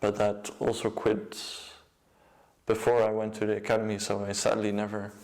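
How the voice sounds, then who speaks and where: Flat-voiced young Dutch man. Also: fairly low pitch, moderate pace, creaky. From Netherlands. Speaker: music producer and DJ. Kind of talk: casual personal account.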